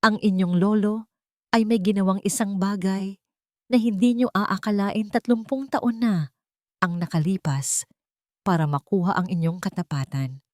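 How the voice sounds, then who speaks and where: A woman narrator plays a serious male lawyer carrying grave secrets in an audio drama. The delivery is earnest and deliberate, with a dry, close sound. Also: medium pitch, fairly even intonation, no room sound, close to an everyday voice. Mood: worried, urgent.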